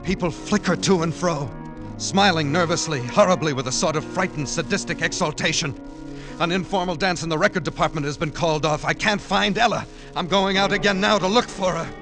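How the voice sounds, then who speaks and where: A man's voice: fairly high pitch, fairly quick, lightly acted. An actor playing an anxious, lovesick, pleading man in a vintage radio drama. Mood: distressed. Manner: earnest, pleading, theatrical. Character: lovesick, anxious man living secretly in a department store. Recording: old radio recording with music behind.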